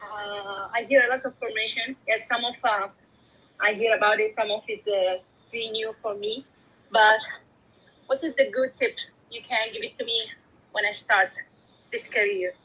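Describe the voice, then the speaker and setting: A woman's voice: fairly high pitch, steady tone, pushed and bright, slight background noise. Hesitant Sudanese woman, lo-fi line. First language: Arabic. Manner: hesitant, halting, many fillers. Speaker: caller, new coding student. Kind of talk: casual live audio room chat.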